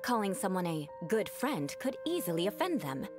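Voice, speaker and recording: A woman's voice: mid-range voice, very animated, normal speed, a little mellow, soft background music, close to an everyday voice. An actress playing a proud young aristocratic woman knight in a video game, aloof and formal with precise, haughty diction. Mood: composed, lecturing. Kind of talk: scripted video game voice acting.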